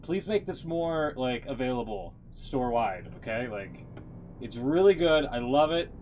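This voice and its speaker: A man's voice: mid-range voice, animated, a little slow, darkish tone. A man chatting casually on a livestream as its host, rambling with playful, profane griping. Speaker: live streamer. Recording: faint background noise.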